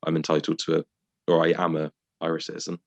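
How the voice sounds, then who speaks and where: Deep, gravelly young man, dry and blunt. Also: completely flat delivery, fast talker, highs cut off. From England. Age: 20s. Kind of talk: casual comics discussion.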